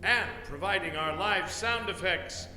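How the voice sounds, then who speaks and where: A man's voice: sits high, a little slow. A man making formal, scripted announcements as the presenter of a live radio play. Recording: echoey live hall, music behind.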